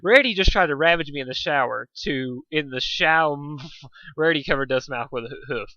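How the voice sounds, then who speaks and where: A man's voice: on the high side, a little fast, bright, forceful tone, dry recording, highs cut off. A man reading a story aloud as an amateur narrator, often stumbling over words and correcting himself. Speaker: amateur fan fiction reader.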